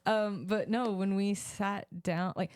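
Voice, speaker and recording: A woman's voice: mid-range voice, steady tone, slow pace, no echo. Casual woman podcast host, close and dry. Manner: self-described loud talker. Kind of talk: casual chat.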